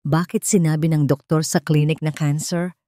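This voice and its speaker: A woman's voice: deep voice, fairly even intonation, moderate pace, mellow, dry recording, studio quality, natural voice. Woman voicing a frightened, tearful young mother. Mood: tearful, aggrieved.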